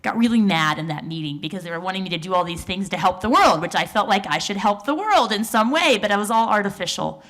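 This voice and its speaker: A woman in her forties speaks from a conference stage in a somewhat low voice. She tells her story earnestly and reflectively, with small fillers along the way. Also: fairly bright tone. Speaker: researcher on a panel. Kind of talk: conference panel talk.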